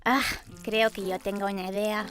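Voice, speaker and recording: A woman voicing a timid, earnest young princess in an animated children's cartoon. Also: medium pitch, moderate pace, close to an everyday voice. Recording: music behind the voice.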